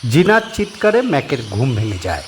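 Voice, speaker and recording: A male narrator telling a horror story, his mid-pitched voice swinging widely in tone to build suspense. A faint hiss sits under the voice. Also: brisk. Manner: expressive storytelling with rising and falling tension.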